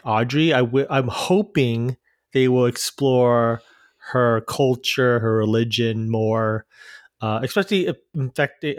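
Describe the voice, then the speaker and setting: Man chatting haltingly on a dry mic. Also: mid-range voice, no echo. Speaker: podcast co-host. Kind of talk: casual chat.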